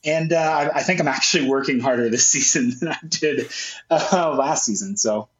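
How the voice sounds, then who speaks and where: A man's voice: medium pitch, normal speed, slight background noise. Nasal man in a roomy lo-fi recording. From Memphis, USA. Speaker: co-host. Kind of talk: casual sports podcast chat.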